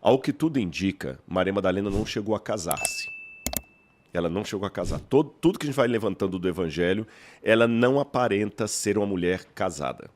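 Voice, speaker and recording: A man with a mineiro Brazilian accent and a fairly low voice lays out his points like a teacher. He speaks in measured, explanatory sentences, often posing a question and then answering it himself. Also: animated, darkish tone, a little hiss or hum. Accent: Mineiro Brazilian Portuguese. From Minas Gerais, Brazil. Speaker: guest scholar. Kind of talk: explanatory podcast interview.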